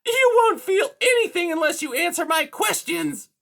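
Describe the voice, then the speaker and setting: A woman's voice: extremely high pitch, animated, normal speed, creaky, pitched above the performer's own voice, exaggerated voice. A woman voicing a sneering, bullying female cat villain in a children's story, with a menacing, snarling manner. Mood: snarling, suspicious.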